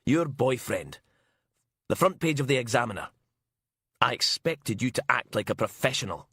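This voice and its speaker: A man's voice: mid-range voice, sing-song, moderate pace, breathy edge, dry recording, voice pushed up, full character voice. Blustering middle-aged police inspector, played by a male narrator, hot-tempered and accusatory, for an audiobook crime story, recorded dry. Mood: furious. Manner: shouting, accusatory, sputtering. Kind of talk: audiobook character acting.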